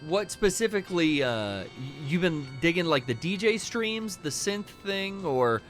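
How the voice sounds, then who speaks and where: A man's voice: high-pitched, expressive, normal speed, fairly bright tone. A man co-hosting a casual game stream from home, talking loosely and cursing often, with music playing behind him. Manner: loose, profane, rambling. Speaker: streamer and DJ, co-host. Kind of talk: casual stream chat while gaming.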